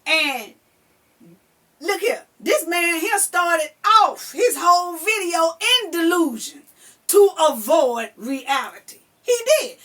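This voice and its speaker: A woman's voice: high voice, fairly bright tone. A woman giving a blunt, emphatic commentary in reply to another video, repeating her key phrases for force and now and then raising her voice. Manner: emphatic and repetitive, hammering phrases home; blunt and profane.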